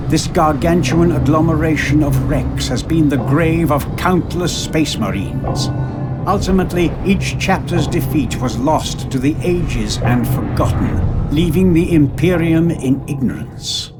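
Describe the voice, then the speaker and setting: An actor playing a grave scholar who narrates a dark video game chronicle in a solemn, portentous manner. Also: male voice, a little high, lightly acted. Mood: ominous. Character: grave male scholar chronicling a haunted derelict ship's horrors. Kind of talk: dramatic scripted game narration.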